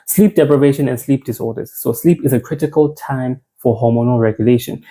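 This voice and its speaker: A man's voice: mid-range voice, brisk, warm tone. A young South African man giving an informal men's health talk, conversational, repeating phrases and restarting words.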